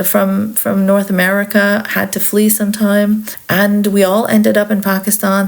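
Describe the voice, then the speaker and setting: Candid woman podcaster from Saudi Arabia. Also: medium pitch. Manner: candid, conversational, with wry asides. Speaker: podcast host. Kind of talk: reflective podcast monologue on politics.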